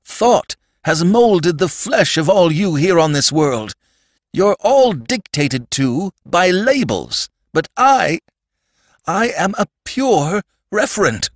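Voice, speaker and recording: A terrified alien creature from a storybook, acted out by a man, pleading in a high, strained voice that swings widely. He is frantic and urgent, as if desperate to be believed, in a dry, close recording. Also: very animated, slow pace, no room sound, exaggerated voice. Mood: frightened pleading. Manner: frantic, pleading, gasping outbursts. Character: terrified shapeshifting alien mistaken for the Sandman, pleading.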